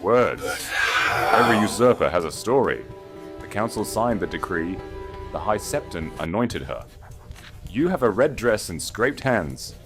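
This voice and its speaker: A man's voice: fairly low pitch, big pitch swings, a little slow. A man voicing a stern, pitiless duke with a curt, commanding manner in a period-romance audiobook. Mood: demanding. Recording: voice over loud music.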